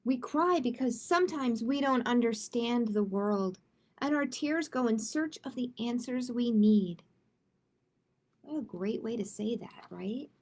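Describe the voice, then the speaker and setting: A woman's voice: on the high side, unhurried, a little mellow. A woman hosting a children's storytime, reading picture books aloud and chatting expressively and directly with young listeners. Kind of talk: children's read-aloud with casual chat.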